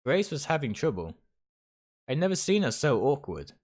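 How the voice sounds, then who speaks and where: A man's voice: fairly high pitch, sing-song, normal speed, darkish tone. A man reading first-person narration aloud, stumbling over words now and then and rereading phrases. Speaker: game streamer reading a visual novel aloud. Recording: music behind the voice.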